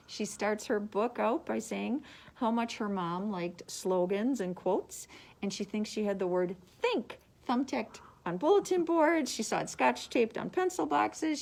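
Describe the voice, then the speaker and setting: A woman librarian presenting book picks, reading prepared summaries aloud in a measured, deliberate way. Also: mid-range voice, darkish tone.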